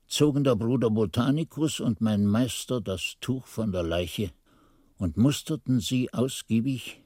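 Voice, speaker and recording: An actor playing a reflective old monk narrating grim memories in a radio drama, with a husky, mellow voice and literary delivery. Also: male voice, medium pitch, no room sound, studio quality.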